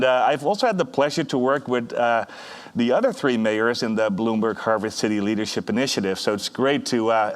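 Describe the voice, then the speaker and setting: A man from the Netherlands with a Dutch accent, moderating a panel and speaking hesitantly, with frequent fillers and restarts. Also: medium pitch. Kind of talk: opening remarks at a panel.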